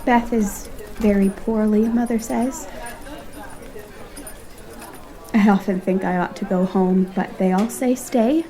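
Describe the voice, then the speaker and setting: A woman playing a refined, affectionate young lady abroad in a period audio drama, with an animated, formal way of talking. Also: medium pitch, measured pace, close to an everyday voice. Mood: worried. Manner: animated, genteel, formal phrasing.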